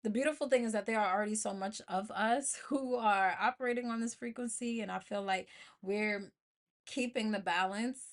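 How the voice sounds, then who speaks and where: A woman's voice: mid-range voice, moderate pace. An American woman hosting an informal motivational podcast solo, speaking colloquially and emphatically, repeating phrases to drive them home. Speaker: podcast host.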